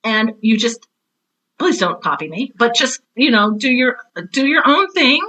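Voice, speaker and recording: A middle-aged woman with a bright, forward voice that sits fairly high. She talks like an enthusiastic interview guest, casual and animated. She often slips into little acted-out quotes as she tells a story. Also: a little slow, poor audio. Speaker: interview guest, drama teacher and author.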